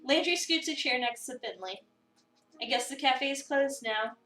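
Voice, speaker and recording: A woman's voice: high-pitched, moderate pace, bright, forceful tone. A woman doing a playful, theatrical dramatic reading of a visual novel as a streamer. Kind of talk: dramatic reading with casual commentary. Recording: roomy, distant mic, music behind.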